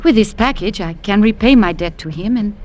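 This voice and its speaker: An actress playing a proud young Peruvian servant woman, earnest and halting in an old-time radio drama. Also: medium pitch, a little mellow, slight background noise, close to an everyday voice. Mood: desperate, pleading.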